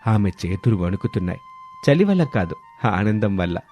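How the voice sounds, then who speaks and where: Low-pitched male story narrator. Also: sing-song, normal speed, darkish tone. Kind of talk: scripted moral story narration. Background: music.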